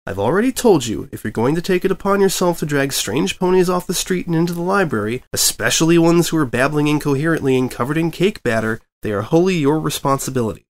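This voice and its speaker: A man's voice: on the high side, expressive, fast talker, hardly any pauses, pitched above the performer's own voice, natural voice. A bookish unicorn mare from a cartoon story, read by a man. She is stern and weary, speaking in long, dry, exasperated sentences. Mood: aggravated.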